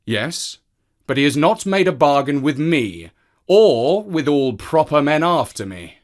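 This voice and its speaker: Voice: mid-range voice, sing-song, measured pace, voice pushed up. A storyteller voicing a stern cave man in a children's tale, firm and emphatic as he lays down terms. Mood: threatening.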